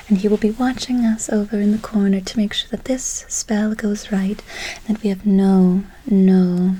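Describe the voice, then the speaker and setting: A woman playing a gentle, doting witch in a whispered ASMR role play, slow and soothing with a breathy voice, repeating words softly. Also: medium pitch, a little hiss or hum, natural voice, poor audio. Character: gentle doting forest witch casting a spell. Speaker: ASMR performer.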